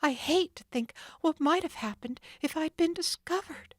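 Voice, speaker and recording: A woman's voice: high voice, normal speed, dry recording, clean studio sound, voice pushed up, lightly acted. A woman voicing an anxious but plucky young female sleuth, with breathless, worried exclamations.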